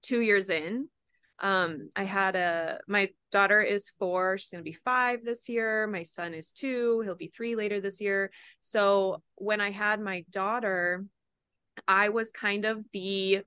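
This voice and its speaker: A woman in her thirties, a podcast guest, telling her story in a bubbly, animated way with quick laughs. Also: medium pitch, clean tone, dry recording, clean studio sound. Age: 30s. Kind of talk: casual interview chat.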